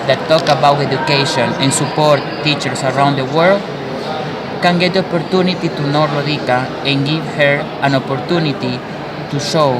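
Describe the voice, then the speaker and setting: A man with an Ecuadorian Spanish accent gives a halting, unscripted testimonial. His slightly high voice is caught a little away from the mic, under loud street-like noise. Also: recorded from a distance. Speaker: educator giving a testimonial. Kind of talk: unscripted testimonial in halting second-language English.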